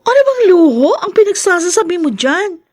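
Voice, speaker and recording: Coquettish high-voiced Filipina. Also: female voice, sing-song, normal speed, darkish tone, no echo. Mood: pouty, wheedling. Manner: flirtatious, wheedling, pouty. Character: coquettish, spendthrift woman, politician's pampered lover. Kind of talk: Filipino radio drama acting.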